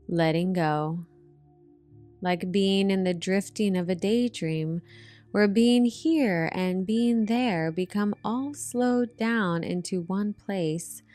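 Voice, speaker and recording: A woman narrating a guided meditation in a soothing, gentle manner, with drawn-out hypnotic phrasing. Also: mid-range voice. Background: relaxation music.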